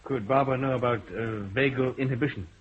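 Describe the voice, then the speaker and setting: An actor playing a persistent, polite police chief inspector who asks calm, probing questions in a vintage radio play. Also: male voice, mid-range voice, a little fast, breathy edge, natural voice. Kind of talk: 1960s radio drama acting. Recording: muffled old radio broadcast, faint hiss.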